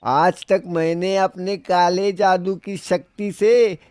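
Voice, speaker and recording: A man's voice: sits high, steady tone, slow, a little mellow, clear, resonant tone, dry recording, studio quality. A man playing a sly, brave woodcutter posing as a sorcerer in a children's cartoon, with exaggerated, wheedling delivery. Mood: boastful. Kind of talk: children's fairy-tale cartoon acting.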